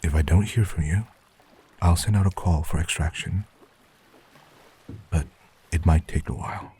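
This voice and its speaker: Man acting a deep, hushed, cautious accomplice. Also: moderate pace, slightly rough, full character voice. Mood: urgent, hushed. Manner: hushed, instructing, terse. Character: gruff, cautious male accomplice planning a covert break-in. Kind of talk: audio drama acting.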